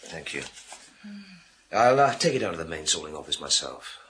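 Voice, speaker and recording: Deep-voiced British police inspector. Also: male voice, sing-song, normal speed, fairly bright tone, slight background noise, exaggerated voice. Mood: gently persuasive. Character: male police detective inspector, hesitant, courteous, matter-of-fact boss. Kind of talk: naturalistic radio thriller acting.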